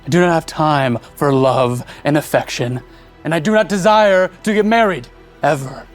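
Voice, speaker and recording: An actor playing an arrogant, irritable young duke with a curt, sardonic manner in an audio drama. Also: male voice, a little high, normal speed, soft background music, close to an everyday voice. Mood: irritated, sardonic.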